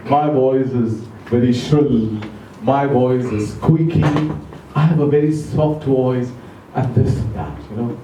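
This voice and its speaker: A man giving an educational talk to a club audience, speaking slowly and deliberately, asking them questions, English not his first language. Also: mid-range voice, warm tone, recorded from a distance, a little hiss or hum. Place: club meeting room. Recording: echoey room recorded from afar.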